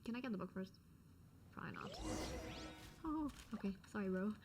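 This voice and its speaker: A woman's voice: mid-range voice, expressive, fairly quick, vocal fry, over loud music. A woman doing casual, slangy gameplay commentary in laid-back, muttered asides. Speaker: gamer commentator. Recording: very noisy mic with clicking. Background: loud noise, clicks.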